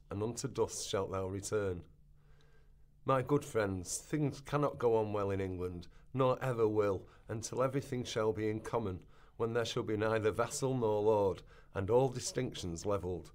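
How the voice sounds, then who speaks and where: A man plays a radical preacher from medieval England in a radio drama. The voice is on the low side and solemn. He declaims to the common people like an orator. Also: natural voice. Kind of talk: dramatic radio play reading.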